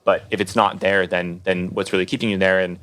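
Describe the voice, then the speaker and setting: A man's voice: sits low, level tone, a little fast, fairly bright tone. A man speaking as an investor in a casual panel discussion, often repeating words and padding with "you know".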